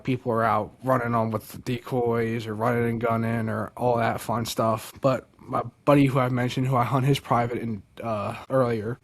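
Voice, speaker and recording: A young man from Delaware, a podcast guest, telling a story casually and excitedly, with lots of "like" and "dude". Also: medium pitch. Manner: animated, many fillers like "like" and "dude".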